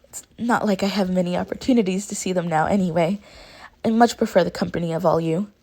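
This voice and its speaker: A woman's voice: lowish voice, quick pace, a little mellow. A well-bred teenage schoolgirl, formal and apologetic, read by a woman telling a story. She keeps a reserved, courteous manner as she talks. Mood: apologetic, wistful.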